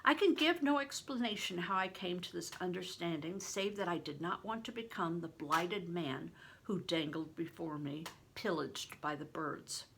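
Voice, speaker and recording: An older woman reading a novel aloud in an expressive, deliberate storytelling manner, stressing words for drama. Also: on the low side, vocal fry, slight background noise, low-quality recording. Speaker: reader, retired reading teacher. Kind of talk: expressive audiobook-style read-aloud of a novel.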